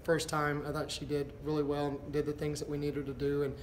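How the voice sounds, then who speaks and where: A middle-aged man, a basketball head coach, answering postgame press questions in a halting, thinking-aloud way. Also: fairly high pitch, monotone. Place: indoors before a backdrop, a step from camera. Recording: echoey room with background noise.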